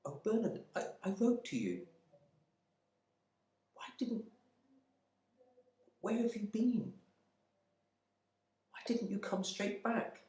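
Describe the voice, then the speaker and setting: Frantic, insistent wife, acted by a man in a monologue; shrill raised pitch, swooping delivery. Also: very animated, slow, long pauses, warm tone, heavily acted. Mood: shocked, pleading. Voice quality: screeching, high. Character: distraught, shrill English wife, demanding answers. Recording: roomy indoor echo.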